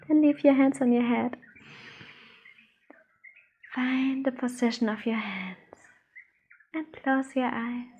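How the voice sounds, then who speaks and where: High-voiced slow woman guiding meditation. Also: fairly even intonation, phone-quality sound, slight background noise. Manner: soothing, unhurried, gentle instructions with pauses. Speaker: meditation teacher, channel host. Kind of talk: guided meditation.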